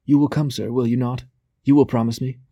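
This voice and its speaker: A man's voice: mid-range voice, a little fast, dark tone, no echo, muffled recording, pitched above the performer's own voice, close to an everyday voice. A man voicing a nervous, servile male messenger in a novel, hasty and stammering as he pleads. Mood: anxious, pleading.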